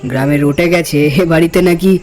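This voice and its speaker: Guy doing insistent hospitable village fella, chatty, high voice for a man, cartoon story, music behind. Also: moderate pace, darkish tone, close to an everyday voice. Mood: sombre explaining. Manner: chatty, coaxing, folksy. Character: hospitable, insistent village man hosting his junior colleague. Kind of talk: horror cartoon voice acting. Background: background music.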